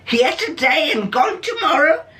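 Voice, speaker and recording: A plain-spoken London madam from a storybook, acted out by a woman reading aloud. She speaks high and slowly, leaning on her words as she laments in a worried, weary tone. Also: sing-song, a bit bright, full character voice. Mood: worried, lamenting. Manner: slow, lamenting, emphatic.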